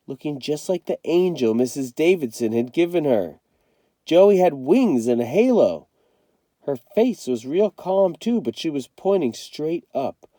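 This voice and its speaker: A man reads a story aloud with dramatic expression. His voice is very dark and soft-edged, mid-pitched, and recorded close and dry. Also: no echo. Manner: dramatic, acts out the dialogue. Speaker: read-aloud reader, teacher.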